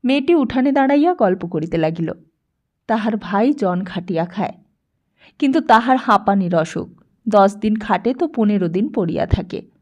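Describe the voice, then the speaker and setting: A woman's voice: mid-range voice, expressive, normal speed, darkish tone, muffled recording. A woman reading a literary story as scripted audiobook narration.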